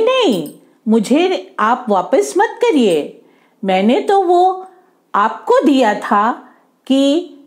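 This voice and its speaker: Storybook employer, kindly earnest man played by a woman, polite, very unhurried, swooping intonation. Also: a little high, a little mellow, full character voice. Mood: insistent, kindly. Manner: earnest, polite, explanatory, slow. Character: kindly, earnest male employer entrusting a gift.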